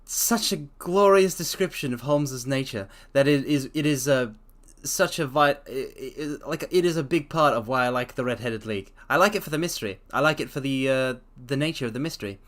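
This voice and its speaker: A man streaming a dramatic reading of a story, breaking off to chat excitedly, often stammering and restarting his sentences. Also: medium pitch, brisk, hardly any pauses.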